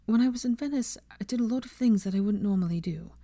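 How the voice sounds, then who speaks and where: A woman's voice: medium pitch, fast, dark tone, dry recording, studio quality, pitched above the performer's own voice, natural voice. A woman playing an earnest, principled and easily flustered young woman in a storybook reading. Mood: anxious, confiding. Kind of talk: dramatised audiobook character dialogue.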